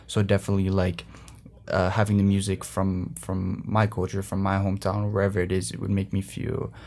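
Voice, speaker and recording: A man's voice: low-pitched, completely flat delivery, brisk, darkish tone. A young man from Colombia whose first language is Spanish, answering interview questions earnestly with many restarts. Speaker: guest, former student drummer.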